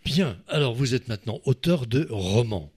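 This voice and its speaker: A man hosting a radio interview, asking questions with frequent quick agreements and teasing asides. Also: medium pitch, animated, darkish tone, slightly rough. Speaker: radio host.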